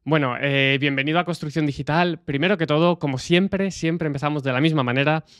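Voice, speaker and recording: A man hosting a podcast, asking quick casual questions. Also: a little high, fairly bright tone, smooth, no echo, studio quality. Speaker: podcast host. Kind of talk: podcast interview.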